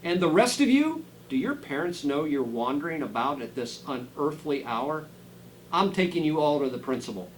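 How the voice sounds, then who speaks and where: A man's voice: a little high, sing-song, normal speed, a little hiss or hum, poor audio. A man voicing a strict, bossy woman schoolteacher from a storybook, barking commands. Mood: scolding. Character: stern, fearless woman schoolteacher, cruel and bossy.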